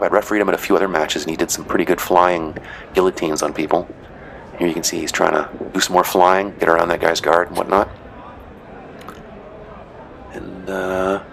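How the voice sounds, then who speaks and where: A man's voice: low voice, fairly even intonation, fairly quick, gravelly, long pauses, fairly bright tone, slight background noise, rough recording. A man casually narrating footage of matches he refereed, chatty and rambling with dry joking asides. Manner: rambling, chatty, frequent "you know" and "uh". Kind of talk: casual commentary.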